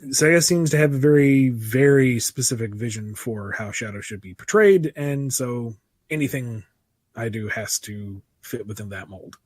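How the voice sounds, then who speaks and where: Halting man in a dry, close recording. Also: mid-range voice, no echo. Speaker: comic writer, guest answering questions. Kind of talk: casual, reflective question-and-answer talk.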